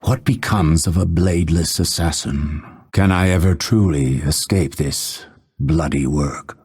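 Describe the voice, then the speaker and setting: A man playing a brooding, vengeful male assassin in a video game, with a husky voice and a measured, theatrical, menacing delivery. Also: sits low, a little mellow, exaggerated voice. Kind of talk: dramatic game character voice lines.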